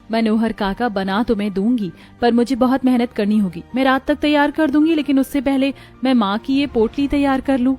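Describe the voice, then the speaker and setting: A woman's voice: a little high, steady tone, moderate pace, darkish tone, soft background music, close to an everyday voice. A woman voicing a modest, hardworking young village seamstress in a cartoon, polite and earnest. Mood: earnest, grateful.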